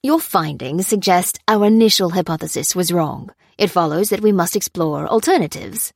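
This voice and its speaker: A woman's voice: lowish voice, moderate pace, gravelly, darkish tone, no echo. A pompous male lab director, put on by a woman narrator. He is genial and formal on the surface, smug and coldly dismissive underneath, in a dry audiobook recording. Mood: smooth, dismissive. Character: breezy, smugly cheerful male scientist boss, coldly callous.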